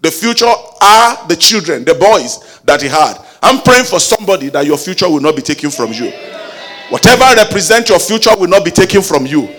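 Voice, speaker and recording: A man preaching a sermon with call-and-response exhortations, rising at times into a sung shout. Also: high voice, big pitch swings, brisk, faint music behind.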